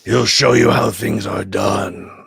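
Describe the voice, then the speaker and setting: A man's voice: lowish voice, steady tone, takes it slowly, gravelly, a bit bright, slightly rough, no echo, clean studio sound, full character voice. A man voicing a greedy, scheming alien crime-lord king in an imperious, mocking way at a comedic table read. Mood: smug, scheming. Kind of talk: comedic live table read of a fan script.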